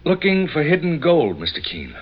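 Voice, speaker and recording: A frightened, earnest young man in a vintage radio crime drama. He is agitated and melodramatic, with wide swings in pitch. The sound is dull, as on an old broadcast. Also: huge pitch swings, moderate pace. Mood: earnest, troubled. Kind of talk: 1940s radio drama acting. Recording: muffled old radio recording.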